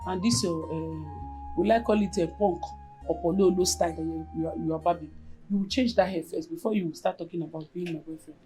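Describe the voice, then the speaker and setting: A woman's voice: on the low side, steady tone, a little fast, dark tone, soft background music, natural voice, rough recording. An actress with a Nigerian accent playing a bossy young woman who impatiently brushes off an admirer in a film drama. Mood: dismissive. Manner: dismissive, impatient.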